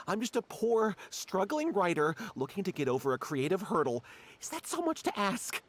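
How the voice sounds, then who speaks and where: Earnest, jumpy, animated struggling writer. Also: male voice, sits high, normal speed. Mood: pleading, hopeful. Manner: fumbling, pleading. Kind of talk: animated game dialogue.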